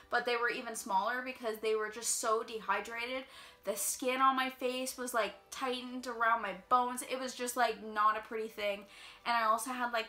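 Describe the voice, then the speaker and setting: A young woman telling a personal story in a casual vlog, chatty and quick with lots of filler words, sounding a little congested. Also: fairly high pitch, sharp tone. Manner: chatty, fast-flowing, heavy use of "like". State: slightly congested. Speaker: vlogger. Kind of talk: casual storytime while doing makeup.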